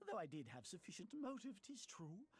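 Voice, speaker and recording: An actor playing a smug, scheming little politician in a fantasy game, pompous and mock-courteous. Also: male voice, high voice, sing-song, brisk, breathy edge, no room sound, heavily acted. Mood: mocking, callous. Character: smug scheming aristocratic male politician, small and wealthy. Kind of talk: theatrical game cutscene acting.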